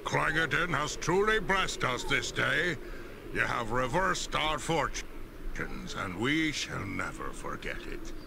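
A man voicing a hearty, earnest older dwarf clan leader in a video game, gravelly and emphatic. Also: mid-range voice, big pitch swings, slow pace, noisy background, full character voice. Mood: grateful. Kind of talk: scripted video game dialogue.

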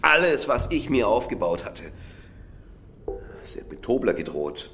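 A man's voice: medium pitch, big pitch swings, a little slow, a bit bright. An actor playing a cynical, defensive middle-aged businessman under interrogation in a radio crime drama, self-justifying and swinging between muttering and heated outbursts. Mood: wounded, bitter. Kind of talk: naturalistic German radio drama acting.

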